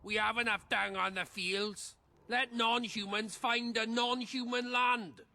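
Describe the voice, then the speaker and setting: High, sharp-voiced angry peasant. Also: male voice, fairly even intonation, measured pace, gravelly, heavily acted. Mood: surly, defiant. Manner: coarse, rustic, belligerent. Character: angry, coarse peasant rabble-rouser, a man. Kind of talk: video game dialogue acting.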